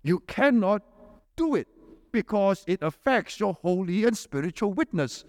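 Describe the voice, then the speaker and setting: A man's voice: lively intonation, a little slow, no echo, clean studio sound. A man preaching a sermon, telling a personal story and building to emphatic, repeated lists. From Malaysia. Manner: repetitive emphatic lists, rising to forceful pitch.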